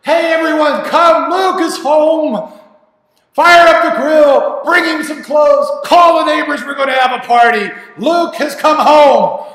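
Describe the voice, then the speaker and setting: A man acting a devoted, grieving father in a dramatic monologue, telling of his lost son haltingly and earnestly, with dramatic pauses. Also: on the high side, lively intonation. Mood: overjoyed, shouting. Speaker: actor performing an audition monologue. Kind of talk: dramatic first-person monologue. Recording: echoey hall with long reverb.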